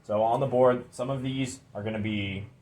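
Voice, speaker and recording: A man's voice: mid-range voice, steady tone, normal speed, a little mellow. A man hosting a casual DIY garage vlog, talking informally through a hands-on job as he works. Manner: informal, talks while working. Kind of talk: casual hands-on how-to explanation.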